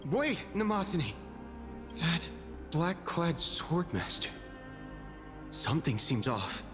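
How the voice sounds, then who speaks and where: A man's voice: on the high side, very animated, slow, over music. A man voicing an earnest, respectful young soldier guard in a video game, with long reverb on his voice. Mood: wary. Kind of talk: English game voice acting.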